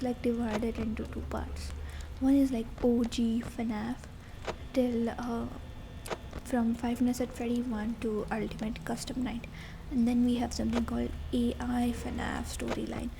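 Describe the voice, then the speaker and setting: Indian girl doing soft asmr rambling, kinda high voice w fry, noisy background. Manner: rambling, informal, explanatory. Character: affectionate girlfriend chatting while playing a game. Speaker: ASMR creator. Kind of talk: ASMR chat explaining game lore, mixing Hindi and English.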